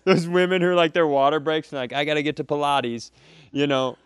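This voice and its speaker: A man's voice: on the high side, fairly even intonation, a little fast, clean tone, dry recording. A man co-hosting a comedy podcast, telling stories casually in a joking, profane, rambling way. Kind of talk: casual comedic storytelling chat.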